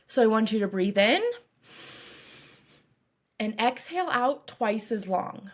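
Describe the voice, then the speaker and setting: A woman coach leading a guided meditation in a calm, reassuring, instructive way, with deliberate phrasing. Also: on the high side. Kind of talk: guided meditation, scripted narration.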